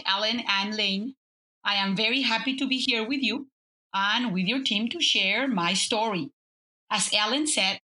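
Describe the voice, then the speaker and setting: A bright, clear-toned woman in her fifties with a Mexican Spanish accent, her first language being Spanish. She tells her story warmly and loosely, pausing on "uh" and "um" and restarting her phrases as she goes. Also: mid-range voice, rough recording. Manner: warm, storytelling, many fillers and restarts. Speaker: guest, business consultant. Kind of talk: conversational interview.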